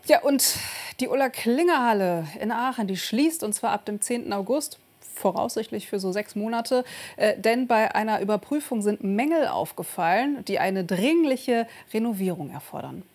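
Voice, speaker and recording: A woman's voice: on the high side, lively intonation, moderate pace, a little mellow. A woman presenting regional news in a conversational way.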